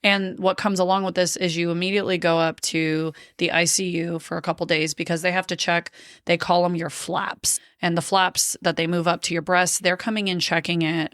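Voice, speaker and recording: A woman's voice: on the low side, a bit bright, dry recording, studio quality. A woman in her late thirties telling her story on a podcast in a blunt, profane way, laughing often. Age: 30s. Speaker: podcast host. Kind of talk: casual storytelling chat.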